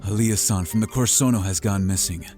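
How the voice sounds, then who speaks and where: A man's voice: lowish voice, brisk, darkish tone, faint music behind, natural voice. A man playing an expert, easygoing hunter who talks in a casual, matter-of-fact way in a fantasy audio roleplay. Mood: serious, briefing. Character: expert male hunter, easygoing, loyal, practical team partner. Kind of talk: scripted fantasy audio roleplay addressed to the listener.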